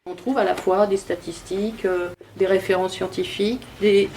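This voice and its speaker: A woman interviewer calmly summarizing a book for the audience. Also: medium pitch, moderate pace, noisy background.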